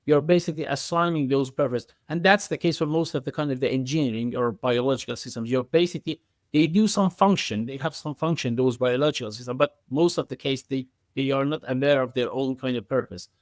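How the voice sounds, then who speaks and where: A man's voice: medium pitch, fast, no echo, studio quality. A man speaking as an expert podcast guest, explaining technical ideas in a hesitant, circling way with frequent self-corrections.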